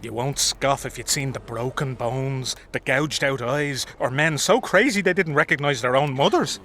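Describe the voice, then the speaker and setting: Casting: man for combative drinking soldier, fantasy game, sharp forceful voice, coarse common speech. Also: mid-range voice, slight background noise, natural voice. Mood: ominous. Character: blunt, boozy, grumbling common soldier in a fantasy army. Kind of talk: video game dialogue acting.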